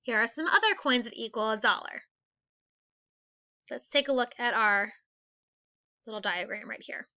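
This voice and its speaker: Lady teacher doing a kids read-aloud lesson, high bright voice, kinda dull screencast audio. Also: normal speed, long pauses. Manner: instructional, counting out step by step. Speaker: elementary school teacher.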